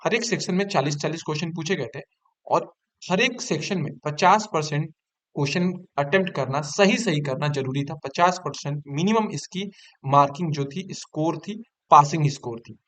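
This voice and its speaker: A man's voice: on the high side, normal speed, fairly bright tone. A man giving an informal explanation to exam candidates as an online presenter, repeating figures and key points for emphasis.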